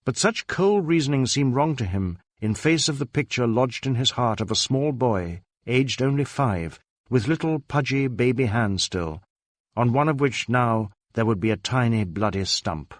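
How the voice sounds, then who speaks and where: A man's voice: mid-range voice, animated, normal speed, no echo, clean studio sound. A man reading a crime novel as a scripted, dramatic narration. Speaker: audiobook narrator.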